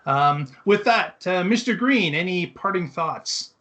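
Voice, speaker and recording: Higher-pitched man hosting a show. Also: expressive, measured pace, bright, forceful tone. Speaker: show host. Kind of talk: casual hosting, addressing viewers.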